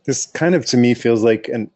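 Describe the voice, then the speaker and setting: A man's voice: mid-range voice, normal speed, a little mellow, no echo. A man giving casual reaction commentary as he watches along.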